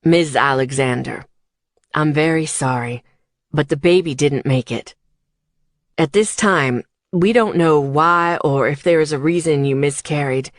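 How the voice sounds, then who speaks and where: A woman voicing a soothing but firm male hospital doctor in an audiobook, speaking in a measured, formal way. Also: deep voice, no room sound, clean studio sound, voice pushed down. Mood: grave, sympathetic. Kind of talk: audiobook character dialogue.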